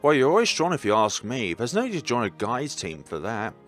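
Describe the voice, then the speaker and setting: A man's voice: mid-range voice, very animated, brisk, close to an everyday voice. Easygoing teen friend read by a man, reluctant yet supportive, varied intonation, visual novel dialogue. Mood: gentle. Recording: background game music.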